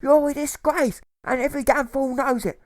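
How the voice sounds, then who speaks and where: A man voicing a hostile elderly mother who scolds in halting, repeated bursts, in an audiobook. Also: very high voice, steady tone, no echo, clean studio sound, pitched above the performer's own voice. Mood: outraged, scolding. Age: older. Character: hostile, ashamed elderly mother, thin and wrinkled.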